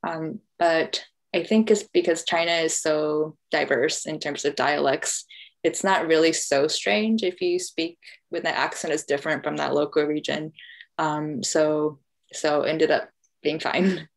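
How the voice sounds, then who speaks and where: Low, creaky-voiced American woman. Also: fairly even intonation, measured pace. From United States. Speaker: author and journalist being interviewed. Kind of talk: conversational book talk interview.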